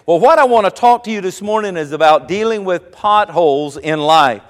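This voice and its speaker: A man preaching as a pastor, telling a personal story in a relaxed, conversational way before slowing down to stress his main points. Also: sits high, big-room sound. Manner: storytelling, conversational, slows for emphasis.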